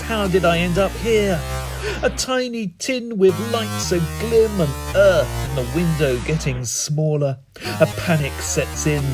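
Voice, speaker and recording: A British man reciting comic spoken-word poetry in a dramatic, whimsical, deliberate manner. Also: fairly high pitch. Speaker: performance poet.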